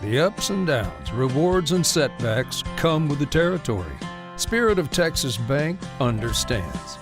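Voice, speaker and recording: A man's voice: a little high, big pitch swings, unhurried. A man narrating a scripted radio commercial as the announcer. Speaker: advertisement announcer. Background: plucked guitar music.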